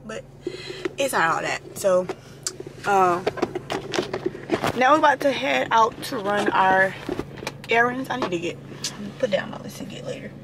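A woman's voice: medium pitch, expressive, a little slow, long pauses. A woman in her twenties chatting casually and informally to her viewers as a vlogger. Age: 20s. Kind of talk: casual vlog chat. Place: parked car and a room at home, indoors. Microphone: camera at arm's length or propped close. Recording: noisy ambient camera audio.

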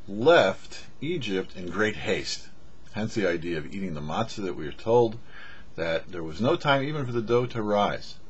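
A middle-aged man giving a scripted religious teaching to camera as a rabbi, deliberate and preacherly with emphatic pauses. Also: fairly low pitch, husky. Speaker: rabbi, show host. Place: small indoor studio with cloth backdrop. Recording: dull, muffled lo-fi audio.

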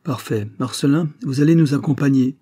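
A man's voice: medium pitch, brisk, mellow, husky, no echo, pitched above the performer's own voice, natural voice. A man voicing a curt, self-important police secretary in an audiobook story, dry and commanding.

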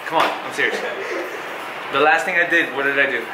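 A man's voice: medium pitch, brisk. A young man casually questioning and explaining to a friend, earnest and insistent. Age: young adult. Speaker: friend of the vlogger. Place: school hallway. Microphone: handheld camera. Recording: echoey hallway, noisy background.

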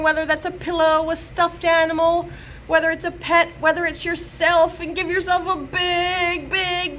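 A woman in her thirties, a children's librarian, reading a picture book aloud and leading songs for young children in a gentle, sing-song, expressive way, filmed on a webcam in a small room. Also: sits high, a little hiss or hum. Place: small room with bookshelves, indoors. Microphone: webcam at close range.

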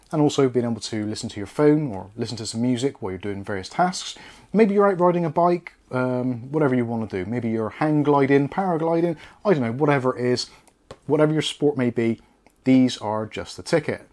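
A man's voice: mid-range voice, darkish tone. British man presenting a casual product review. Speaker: product reviewer, channel host.

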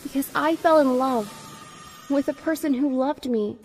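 A very high-pitched cartoon teenage princess. She is intensely emotional and earnest, a magical girl in a fan comic dub. Music plays behind her. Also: a little slow, a little mellow. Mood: tender, grateful.

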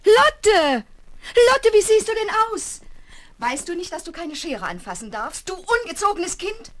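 A woman's voice: very high voice, very animated, measured pace, fairly bright tone, heavily acted. A woman playing a stern, exasperated mother scolding a child in a 1970s children's radio play. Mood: scolding, appalled.

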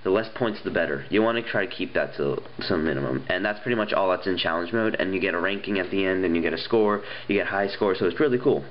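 Stuffy-nosed young man, deep voice. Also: quick pace, few pauses, dull audio, slight background noise. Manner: chatty, rambling, lots of ums and restarts. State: stuffy nose, getting sick. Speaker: app reviewer. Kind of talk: casual video review. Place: indoors at a wooden table.

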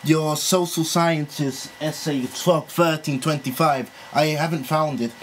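Guy putting on a creepy lecturer, halting and needy, prank call, background hiss. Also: on the high side, fairly even intonation, natural voice. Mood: stern, exasperated. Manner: halting, pleading, drawn-out delivery. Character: lovesick creepy Italian male university lecturer.

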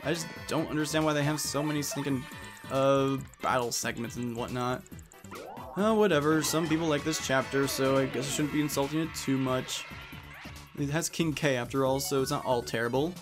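A man's voice: mid-range voice, moderate pace, over music. A man giving casual let's play commentary on a video game, reading dialogue aloud as he goes.

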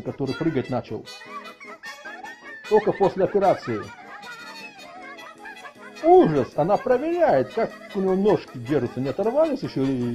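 A middle-aged man with a husky voice doing a mock-outraged comic rant, halting and repeating words as he exclaims. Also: fairly high pitch, warm tone. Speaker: video channel host. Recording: dull lo-fi audio, faint music behind.

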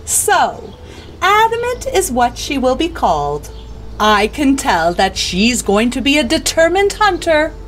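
A woman voicing a proud, stern lioness queen and mother in a regal, measured manner for a comic dub. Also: high voice, huge pitch swings, fairly bright tone, slight background noise, exaggerated voice, low-quality recording. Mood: fond. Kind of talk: comic dub character acting.

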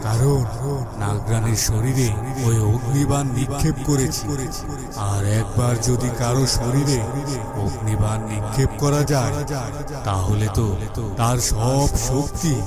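A menacing sorcerer emperor played by a man from a fairytale cartoon, set against loud music. He scheme-talks emphatically, doubling his words and leaving dramatic pauses. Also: medium pitch, natural voice. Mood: gloating, resolute. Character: scheming villainous magician emperor, menacing and obsessive. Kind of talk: Bengali fairytale cartoon voice acting.

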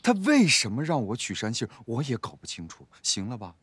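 A man's voice: a little high, very animated, normal speed, fairly bright tone, breathy edge, dry recording, exaggerated voice. An actor in a TV drama playing a put-upon young adjutant, impatient and whiny as he protests. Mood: sullen, impatient. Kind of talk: TV drama acting.

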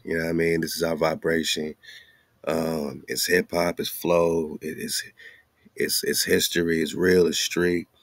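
Deep-voiced man in casual hype talk. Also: no echo, studio quality. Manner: slangy, laid-back, repeats catchphrases like "man" and "you know". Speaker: radio show host. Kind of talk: casual hype chat promoting music.

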